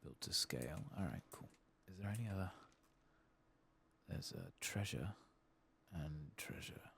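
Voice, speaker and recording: A very low-pitched man speaks softly in an ASMR gaming style, with heavy vocal fry. His hushed, unhurried muttering moves lively in pitch, over faint background noise. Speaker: streamer playing a fantasy game. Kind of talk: soft-spoken, whispered ASMR gameplay commentary.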